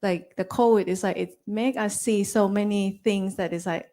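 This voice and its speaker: A woman's voice: medium pitch, steady tone, normal speed, dark tone. A woman in her forties with a Thai accent, talking casually to an audience in simple, loose English. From Thailand. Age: 40s. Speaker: speaker on a panel.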